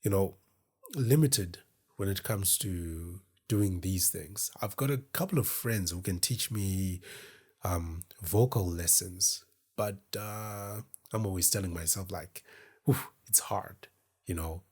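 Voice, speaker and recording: Deep, husky man, slow and reflective. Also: darkish tone. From Zimbabwe. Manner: reflective, meandering, self-deprecating. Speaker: podcast host. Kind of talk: casual solo talk to camera. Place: indoors in front of a camera.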